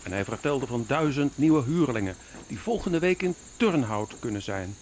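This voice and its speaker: A man's voice: mid-range voice, very animated, normal speed, darkish tone, slight background noise, natural voice. An actor playing an earnest, deferential Dutch spy reporting formally to his prince in a radio play. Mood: grave reporting. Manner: formal, respectful.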